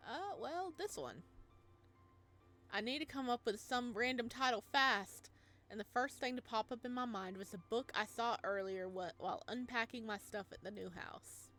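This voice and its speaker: A woman's voice: a little high, lively intonation, moderate pace, sharp tone, soft background music. Alex, voiced by a woman, hesitant young dad. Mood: flustered. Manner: hesitant, self-conscious. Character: young adult male teacher, single dad. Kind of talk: reading a visual novel aloud.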